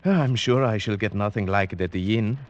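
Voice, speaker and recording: An actor playing a cultured Slavic gentleman in an old radio drama, speaking formally and with measured care. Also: lowish voice, close to an everyday voice. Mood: courteous. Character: cultured Slavic gentleman recounting a grim werewolf tale. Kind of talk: 1940s radio drama narration. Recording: muffled vintage radio recording with music.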